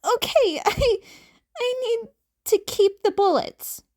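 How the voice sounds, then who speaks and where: A woman's voice: very high-pitched, animated, slow, darkish tone, voice pushed up, exaggerated voice. A woman voicing a defensive, snarky teenage boy vigilante who blurts and snaps back, in an audiobook story. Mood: nervous. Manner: hesitant stammering, blurting, snapping back. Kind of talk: audiobook character acting.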